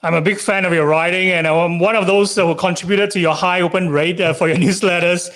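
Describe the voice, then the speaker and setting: A man. He hosts an interview show, building long conversational questions in a halting, wordy way with many small tag phrases. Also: high voice, fairly even intonation, a bit bright. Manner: halting, wordy, often tagging phrases with "you know" and "right". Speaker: podcast host interviewing a guest.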